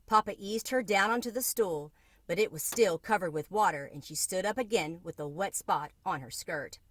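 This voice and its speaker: A woman reading a children's book aloud in an expressive narrating style. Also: lowish voice, normal speed. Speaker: storybook reader.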